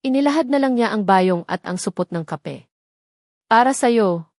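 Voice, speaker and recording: A woman's voice: mid-range voice, animated, brisk, darkish tone, dry recording, clean studio sound. A woman narrating a scripted, dramatic romance story as a storyteller.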